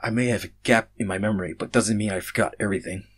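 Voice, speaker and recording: Story character: confused, panicky young man, done by a male reader, sarcastic, halting, husky. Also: on the low side, steady tone. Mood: earnest.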